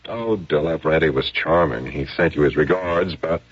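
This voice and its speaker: An actor playing a discouraged out-of-work actor and lover in a radio drama, weary in manner. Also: male voice, extremely low pitch, moderate pace, husky. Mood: dejected. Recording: muffled radio broadcast recording.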